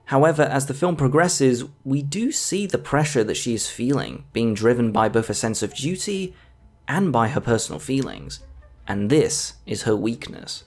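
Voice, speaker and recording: Guy doing a video essay film review, pretty animated delivery, bit of music behind him. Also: mid-range voice, normal speed. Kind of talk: scripted video essay narration. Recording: music under the voice. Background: background music.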